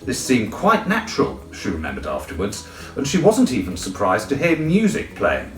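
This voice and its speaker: A man narrating a children's storybook in an expressive, theatrical way. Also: on the low side, moderate pace, echoey room, distant mic, faint music behind. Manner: theatrical, animated. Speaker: audiobook reader.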